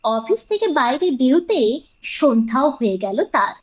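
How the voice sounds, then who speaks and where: A woman's voice: sits high, sing-song, unhurried. A woman narrating a scripted audio story in a dramatic storytelling style.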